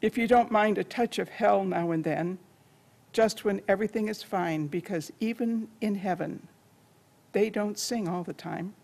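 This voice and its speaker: An older woman in her seventies reads poetry aloud to a small audience. Her voice is gravelly and creaky, at a middling pitch, as she stands at a library lectern. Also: unhurried, a little mellow. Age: older, around 70s. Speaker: poetry reader. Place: library meeting room at a lectern.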